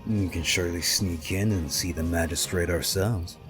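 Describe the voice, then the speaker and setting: A man voicing a gruff, scornful ninja master with a growling delivery in a fantasy audio story. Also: low-pitched, steady tone, moderate pace, natural voice. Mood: defiant. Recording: music behind the voice.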